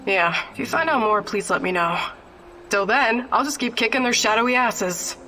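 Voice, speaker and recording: A woman's voice: a little high, normal speed, sharp tone, slight background noise. An actress playing a tough, no-nonsense female fighter in a video game, direct and plain-spoken. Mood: confident, tough. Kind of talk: video game character acting.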